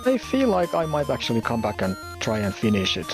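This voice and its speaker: A man's voice: mid-range voice, huge pitch swings, fairly quick, music in the background. A Finnish man in his forties, a tournament player speaking English modestly and carefully in a casual chat. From Finland. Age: 40s.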